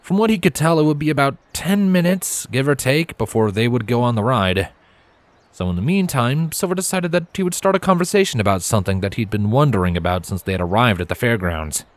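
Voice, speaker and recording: A man reading fan fiction aloud as an expressive, scripted storyteller. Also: medium pitch, fairly quick, no room sound.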